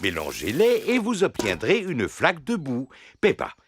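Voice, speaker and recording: A man's voice: a little high, wildly swooping pitch, moderate pace, slight background noise. An actor playing a cheerful father pig who loves explaining science in a dubbed children's cartoon. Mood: keen, explanatory. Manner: explanatory, jovial. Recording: music behind the voice.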